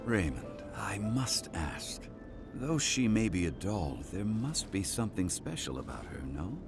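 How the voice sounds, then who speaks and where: Haughty fantasy scholar, low voice, formal, pompous, inquisitive, video game drama, music behind. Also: male voice, sing-song, unhurried, creaky, full character voice. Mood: intrigued. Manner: pompous, formal, archaic wording. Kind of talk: video game character acting.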